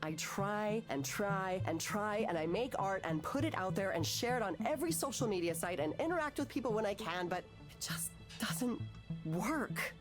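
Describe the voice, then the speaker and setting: An actress voicing an anguished, bitter, self-doubting woman artist in a naturalistic visual novel, speaking haltingly and emotionally. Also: medium pitch, lively intonation, music in the background, close to an everyday voice. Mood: frustrated, bitter. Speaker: counselling patient.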